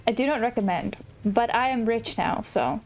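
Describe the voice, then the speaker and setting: A woman's voice: on the high side, moderate pace. A woman doing casual, cutesy and self-deprecating let's play commentary as a gaming streamer. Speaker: gaming YouTuber.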